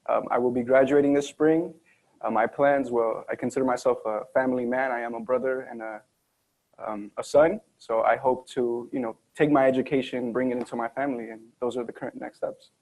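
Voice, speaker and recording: A young man, a university senior, answering questions as a student panelist, soft-spoken and relaxed. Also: medium pitch, brisk, low-quality recording. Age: young adult. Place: conference session room.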